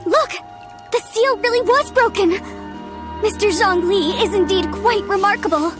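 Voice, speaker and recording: Game role: young female researcher, high voice, earnest and a little hesitant, clear music bed. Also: moderate pace. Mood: amazed. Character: earnest, devout young female amateur archaeologist. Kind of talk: video game voice acting.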